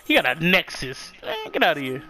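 A young man, talking loosely over his game and swearing often. His voice sits high and leaps around, with big spikes whenever something surprises him. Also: fairly quick. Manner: loud, excitable, swears often, laughs a lot. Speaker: gamer streaming his play. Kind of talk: casual gaming chat with a friend.

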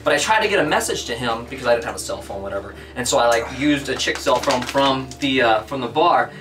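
Fast-talking man telling a story. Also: medium pitch, lively intonation, recorded from a distance, noisy background. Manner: quick, storytelling with quoted speech. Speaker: touring band member. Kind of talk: casual anecdote.

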